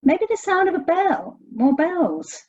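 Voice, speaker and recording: High-voiced, exasperated American woman. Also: sing-song, unhurried, a little mellow, muffled recording, heavily acted. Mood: wry, unsettled. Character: anxious, exasperated American woman searching for her estranged sister. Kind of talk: audio play acting.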